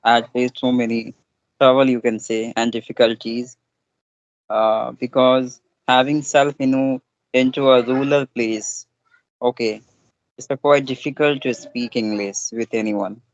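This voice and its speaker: A man's voice: medium pitch, flat delivery, no echo. Indian man on a dull, dry line. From Bihar, India. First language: Hindi. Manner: careful, non-standard grammar, halting. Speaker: schoolteacher. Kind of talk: casual conversation practice.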